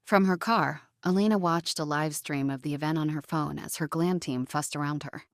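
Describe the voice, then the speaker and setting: A woman's voice: deep voice, moderate pace, no room sound, studio quality. A woman narrating a scripted drama story in a dramatic style.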